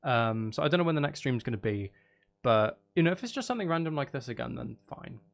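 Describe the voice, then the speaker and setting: A man's voice: mid-range voice, animated, fast talker, vocal fry. A man, talking casually on a livestream, rambling and thinking aloud as he goes. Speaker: live streamer. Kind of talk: casual livestream chat while making music.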